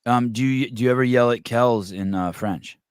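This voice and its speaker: A man of Armenian first language hosting a podcast, asking rambling, halting questions in a frank, casual way. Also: medium pitch, clear, resonant tone, dry recording, studio quality. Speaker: podcast host. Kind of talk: casual interview talk.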